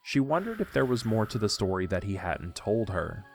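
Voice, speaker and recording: A man's voice: on the low side, very animated, moderate pace, vocal fry, soft background music. A man narrating a scripted story for an audio drama.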